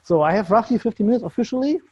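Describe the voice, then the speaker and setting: German man with an accent, speaking English, meetup tech speaker, slightly high, warm dry voice, casual and halting. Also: lively intonation, husky, no echo, lo-fi sound. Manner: loose, searching delivery with frequent ums and restarts. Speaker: conference speaker.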